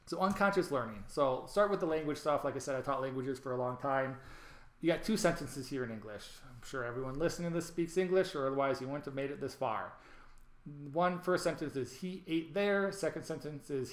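A man's voice: mid-range voice, fairly bright tone, reverberant. A man presenting an online training, explaining things over slides with frequent ums and restarts. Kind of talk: recorded lecture over slides.

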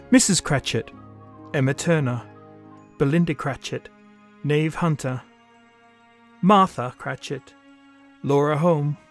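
Guy reading end credits, formal and slow, music under him. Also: fairly high pitch. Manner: formal, measured. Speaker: announcer. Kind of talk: scripted credits announcement.

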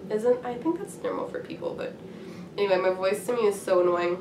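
A young woman in her twenties with a voice hoarse from a cold, chatting casually and rambling to camera with many ums. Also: medium pitch, brisk. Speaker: craft vlogger. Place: small room indoors at home. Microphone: camera at a distance. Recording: roomy, from a distance, faint hiss.

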